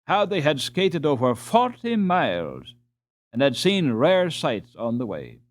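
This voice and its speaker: A man narrating a storybook as an audiobook reader, in a measured, expressive way. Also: a little high.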